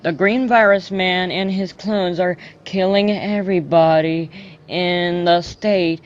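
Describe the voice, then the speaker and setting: A woman's voice: lowish voice, fairly even intonation, takes it slowly, a little hiss or hum. A woman playing a defiant female president giving a dramatic emergency address to a doomed nation. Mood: grave, defiant. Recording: dull lo-fi audio.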